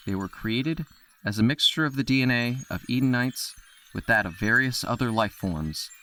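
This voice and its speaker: A man's voice: lowish voice, a bit bright, slight background noise. A man narrating an audio drama from a script in a storytelling role.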